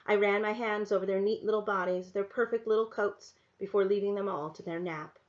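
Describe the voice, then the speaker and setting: A woman teacher reading a novel aloud to her class in a soft, measured, unhurried way. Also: mid-range voice, steady tone. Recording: dull lo-fi recording.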